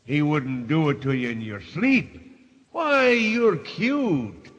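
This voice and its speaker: A man's voice: on the high side, animated. A man playing a jovial policeman, patronizing and mocking, in an old radio mystery. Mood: patronizing hilarity. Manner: amused, patronizing, drawn-out. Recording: dull, reverberant vintage radio recording.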